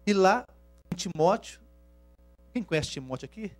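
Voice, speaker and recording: Slightly high-voiced preacher with Minas accent. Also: male voice, animated, faint music behind. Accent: Minas Gerais Portuguese. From Rio de Janeiro, Brazil. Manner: shifts from hushed asides to loud, slow emphatic scripture reading. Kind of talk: sermon to a congregation.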